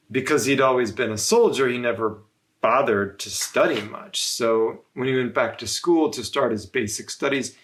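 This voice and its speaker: A man with a medium-pitched voice and noticeable fry narrates a storybook for children. He phrases deliberately and expressively, leaving pauses as he goes. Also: fairly bright tone. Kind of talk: read-aloud storytelling narration.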